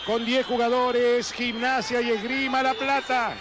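A man's voice: extremely high pitch, little pitch movement, unhurried. An Argentine man giving live football commentary on a noisy archive broadcast. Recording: noisy archive broadcast, loud stadium crowd.

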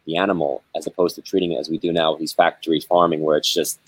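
A man talking reflectively about spirituality in a conversation, with measured, searching phrasing and many pauses. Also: rumbling low, flat delivery, breathy edge, no echo. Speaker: spiritual guest.

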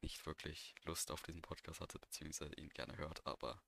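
A man's voice: very deep voice, fairly even intonation, fairly quick, vocal fry, bright, forceful tone. A young man co-hosting a casual podcast, chiming in with laid-back, slangy remarks. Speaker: podcast co-host. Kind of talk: casual chat.

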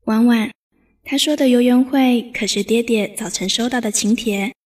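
A woman playing a gentle, bookish young noblewoman in a Chinese period audio drama, poised, with literary phrasing. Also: fairly high pitch, normal speed, natural voice. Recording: music behind voice.

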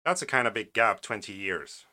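Male podcast host, 40s, non-native English speaker, lowish voice, sharp delivery, opinionated commentary. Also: lively intonation, moderate pace. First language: not English. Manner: opinionated, wry, with frequent restarts and asides. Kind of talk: casual commentary reading a news article aloud.

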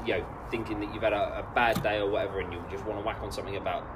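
A man's voice: on the low side, fairly even intonation, rapid-fire, a bit bright, slight background noise, rough recording. A man, co-hosting a casual podcast chat. He often restarts his sentences and tells stories. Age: late 20s. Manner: stammering restarts, storytelling. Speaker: podcast co-host.

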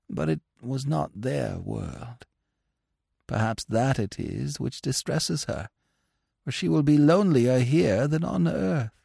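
Man playing an anguished old priest in a storybook; hushed, pleading, gravelly edge. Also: medium pitch, no echo, clean studio sound, pitched above the performer's own voice, full character voice. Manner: hushed, pleading inner speech. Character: devout elderly village priest, anguished and pious. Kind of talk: audiobook reading.

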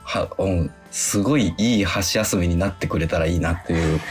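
A man's voice: low voice, a little fast, soft background music. A man guest on a radio show, a film director, answering politely with many hesitant fillers. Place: radio studio.

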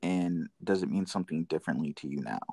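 A man's voice: low voice, fast talker, vocal fry, warm tone, no echo, studio quality. A man hosting a casual conversational interview, asking questions in an informal way. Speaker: interview host.

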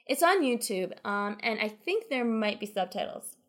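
Female podcast co-host, enthusiastic, chatty, higher register. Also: big pitch swings, moderate pace, a bit bright. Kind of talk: casual podcast chat about a drama.

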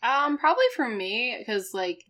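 A woman's voice: on the high side, a little slow. A woman with a New England accent, co-hosting a podcast and telling stories in casual chat, with lots of fillers. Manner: chatty, animated storytelling with many fillers. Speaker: podcast co-host.

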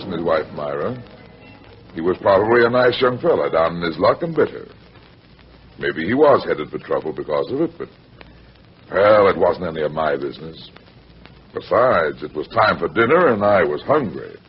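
An actor playing a wry, kindly hard-boiled detective in an old-time radio drama, clipped and matter-of-fact. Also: male voice, sits low, animated, normal speed, faint music behind. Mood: matter-of-fact narrating. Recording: muffled, noisy old radio recording.

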